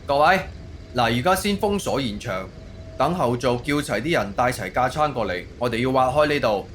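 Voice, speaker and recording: A man playing a firm, persuasive police captain in an audio drama, speaking in a measured, official way. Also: mid-range voice, expressive, fairly bright tone, a little hiss or hum, close to an everyday voice. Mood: commanding. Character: authoritative middle-aged male police captain, firm and persuasive. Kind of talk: Cantonese audio drama acting.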